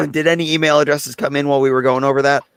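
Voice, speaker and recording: A man with a hoarse, fading voice hosting a casual livestream and talking loosely and chattily to his viewers. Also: mid-range voice, flat delivery, very fast, a bit bright, no room sound. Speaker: host of a live gun channel stream.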